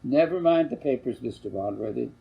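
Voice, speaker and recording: A man's voice: medium pitch, animated, brisk, creaky, lo-fi sound. A man voicing a plain-spoken, skeptical farmer father with a blunt, firm manner in a storybook.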